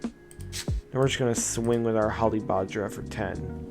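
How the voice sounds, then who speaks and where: A young man with a slightly raspy voice, chatting casually through a card game deck test and explaining his plays. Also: fairly low pitch, a little slow. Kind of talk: casual gameplay commentary. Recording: music playing underneath.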